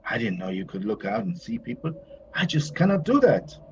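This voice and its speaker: A man's voice: mid-range voice, sing-song, fairly quick, lightly acted. An actor playing a whimsical, courtly male painter in an audio play, moody yet carefree, with a theatrical, lyrical way of talking. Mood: doubtful. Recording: dull, slightly noisy recording.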